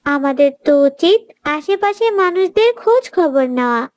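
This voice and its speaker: Cartoon casting: woman as devout little girl, high-pitched, earnest and gently preachy. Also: lively intonation, measured pace, no echo. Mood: thoughtful. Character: pious, kindly little girl who gently advises. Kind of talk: children's moral cartoon voice acting.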